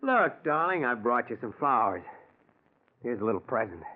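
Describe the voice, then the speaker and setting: A man's voice: medium pitch, big pitch swings, normal speed, natural voice. Man acting a nervous, scheming husband, tinny old radio. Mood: feigned concern. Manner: stammering, smoothly placating. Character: nervous, scheming husband hiding guilt behind soothing concern. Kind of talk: 1940s radio drama acting. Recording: tinny, noisy 1940s radio recording.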